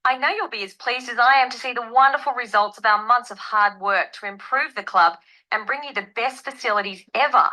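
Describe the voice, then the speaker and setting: A woman's voice: on the high side, a little fast, sharp tone, poor audio. A woman playing a welcoming, proud health club tour guide in a scripted listening-test monologue.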